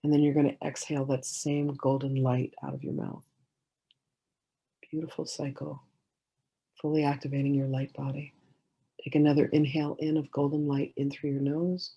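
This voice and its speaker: A woman leading a guided meditation in a gentle, soothing way, giving slow instructions with many pauses. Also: sits low, flat delivery, husky, dull audio.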